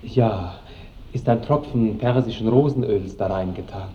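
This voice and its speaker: A man's voice: lowish voice, a little slow, natural voice. A man playing a bashful, chivalrous seventeen-year-old count in a radio play, courtly and ardent. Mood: awed love. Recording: muffled, echoey 1960s radio with noise.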